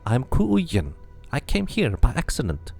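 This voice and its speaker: A man's voice: medium pitch, wildly swooping pitch, measured pace, creaky, darkish tone, slightly rough, faint music behind, voice pushed up, exaggerated voice. A man voicing a solemn stranger who has just regained his name, speaking in a formal, earnest way while reading a game aloud. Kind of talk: amateur game voice acting.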